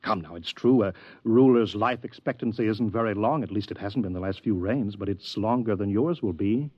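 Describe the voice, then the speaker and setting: An American actor in a 1950s radio drama playing a fussy, unctuous older adviser who wheedles and stammers pompously. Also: male voice, fairly low pitch, normal speed, close to an everyday voice. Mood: persuasive, pompous. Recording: dull vintage 1950s radio recording.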